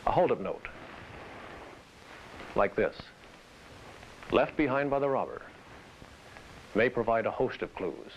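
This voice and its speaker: A man presenting scripted instruction in a formal, deliberate manner. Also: mid-range voice, animated. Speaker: training film presenter. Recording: dull hissy vintage training film soundtrack.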